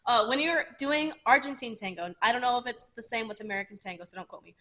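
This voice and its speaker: A woman's voice: fairly high pitch, lively intonation, fast talker, pushed and bright. A woman co-hosting a podcast, chatting casually and frankly, swearing freely and explaining things at length with asides. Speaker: podcast co-host. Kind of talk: casual chat.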